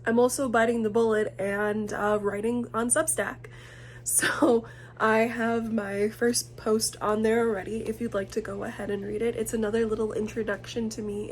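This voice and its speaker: A young woman talking casually to her camera, self-conscious and hesitant, with many fillers and pauses. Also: medium pitch, a little hiss or hum. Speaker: vlogger. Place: indoor room. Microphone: camera mic.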